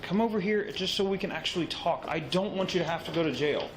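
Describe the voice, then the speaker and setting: A man's voice: high-pitched, fast. A male police officer giving firm, commanding instructions. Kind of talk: real police bodycam exchange. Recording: noisy bodycam audio.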